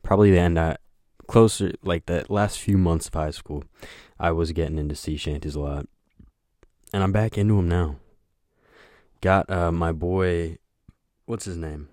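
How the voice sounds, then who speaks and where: A young man hosting a solo podcast, talking in a flat, monotone voice that rambles, with lots of hesitation and filler words. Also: low voice, normal speed, no echo, clean studio sound. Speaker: podcast host. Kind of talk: casual solo podcast chat.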